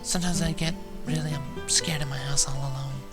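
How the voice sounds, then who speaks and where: Timid young pegasus mare acted by a man, high, hesitant delivery for fan fiction narration. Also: steady tone, music in the background, close to an everyday voice. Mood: shy, softly confiding. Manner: hesitant, halting, shy. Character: timid soft-spoken young female pegasus pony. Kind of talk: fan fiction storybook reading.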